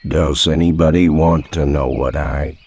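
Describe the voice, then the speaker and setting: A man voicing a gloomy, resigned toy donkey in a children's storybook, glum and halting. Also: rumbling low, steady tone, darkish tone, slightly rough, voice pushed down, heavily acted. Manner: glum, halting, downbeat delivery. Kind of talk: children's storybook read-aloud.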